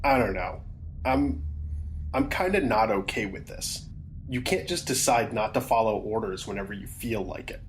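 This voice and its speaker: An actor playing a skeptical, rule-following security team member in a science fiction audio drama, with blunt, colloquial, questioning delivery. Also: male voice, lowish voice, animated, moderate pace, slight background noise, natural voice. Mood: uneasy, defiant.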